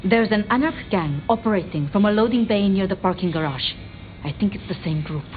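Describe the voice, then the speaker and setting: A woman playing a timid, bookish vampire researcher in a video game, hesitant and apologetic, stammering over halting phrases. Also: medium pitch, expressive, phone-quality sound, faint music behind, natural voice. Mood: relieved. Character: timid, flustered, bookish female vampire researcher, devoted to her retainers. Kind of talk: video game dramatic dialogue.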